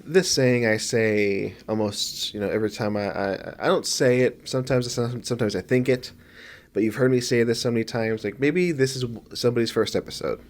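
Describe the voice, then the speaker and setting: Male film-podcast co-host, sniffly, rambling, self-correcting, mid-pitched. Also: brisk. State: sniffly from allergies. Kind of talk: casual film podcast chat.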